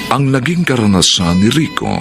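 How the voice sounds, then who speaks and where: A man narrating a radio drama from a script in a solemn, deliberate manner. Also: mid-range voice, very animated, over music.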